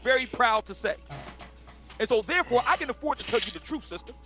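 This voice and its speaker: A boastful man preaches in a played clip, his voice pitched very high and bright. Faint music runs underneath him. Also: lively intonation, fast. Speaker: dating commentator.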